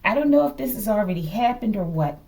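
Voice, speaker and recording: Mellow-voiced American woman reading tarot, slightly distant. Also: mid-range voice, expressive, fast talker. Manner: musing, often checks in with okay. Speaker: tarot reader. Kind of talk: casual improvised card reading addressed to viewers.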